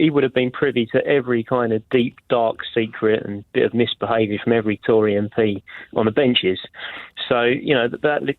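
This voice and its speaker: A man's voice: mid-range voice, dry recording. A man with an English accent calling a radio phone-in over a phone, giving his opinions casually with tentative, hedged phrasing. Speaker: phone-in caller. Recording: narrow, tinny phone line.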